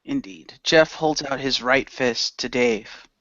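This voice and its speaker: A man's voice: medium pitch, slow pace, gravelly, a bit bright, no room sound. A man playing along in a casual role-play radio show with easy banter, recorded with dull sound. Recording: dull, lo-fi audio.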